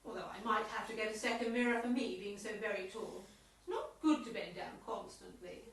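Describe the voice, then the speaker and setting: A woman playing a brisk, self-important tennis club committee chair in an amateur radio comedy play, speaking formally. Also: medium pitch, expressive, lightly acted. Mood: defensive, vain. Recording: very echoey, distant mic, faint noise.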